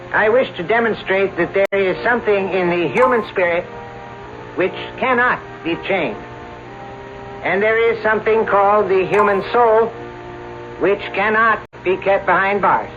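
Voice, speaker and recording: A solemn funeral minister, slightly high voice, dull lo-fi old radio sound with music underneath. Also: male voice, measured pace. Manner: solemn, formal oratory. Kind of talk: 1970s radio drama acting.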